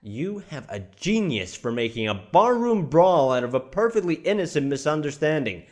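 Animated higher male voice, man acting a proud exasperated playwright, scornful, articulate, radio drama. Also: moderate pace. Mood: angry, scornful. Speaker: voice actor in an audio drama.

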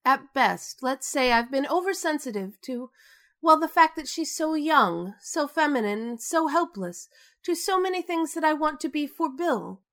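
An actress playing a temperamental, insecure theatre star in a radio drama, dramatic and self-mocking. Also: female voice, sits high, lively intonation, a little slow, a bit bright, heavily acted. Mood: vulnerable, confessing.

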